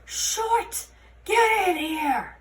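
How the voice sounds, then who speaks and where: A woman voicing a gruff, angry male fairy police commander who scolds in a storybook reading. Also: very high-pitched, expressive, very slow, pitched above the performer's own voice, exaggerated voice. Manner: barking, scolding. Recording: roomy, distant mic, faint noise.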